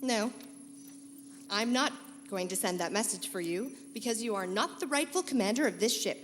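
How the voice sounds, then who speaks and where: A woman's voice: mid-range voice, lively intonation, normal speed, natural voice. A woman playing a feisty, sassy young reporter who talks firmly and sarcastically in a live pulp sci-fi radio comedy. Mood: indignant. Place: live convention stage. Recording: echoey hall, light hum.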